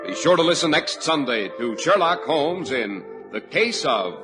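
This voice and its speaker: Old-time radio announcer guy, smooth and formal, loud music behind him. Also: fairly high pitch, big pitch swings, unhurried. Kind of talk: 1940s radio announcing and commercial.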